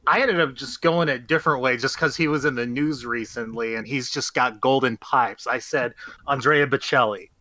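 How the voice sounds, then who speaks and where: A man's voice: medium pitch, normal speed, pushed and bright. A man playing a game over a stream, giving sheepish, rambling explanations of his answer. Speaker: game contestant.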